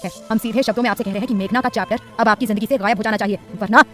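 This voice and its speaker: A woman's voice: medium pitch, racing, soft background music, natural voice. A woman voicing a stern, possessive young husband who speaks in a formal, commanding way. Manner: formal, clipped, authoritative.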